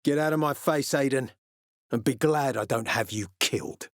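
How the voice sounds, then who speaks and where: Game role: gruff military commander, male actor, suspicious, curt and threatening, profane streak, recorded dry. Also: medium pitch, normal speed, no echo, lightly acted. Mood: contemptuous threat. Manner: terse, menacing, sardonic, profane. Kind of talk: video game character dialogue.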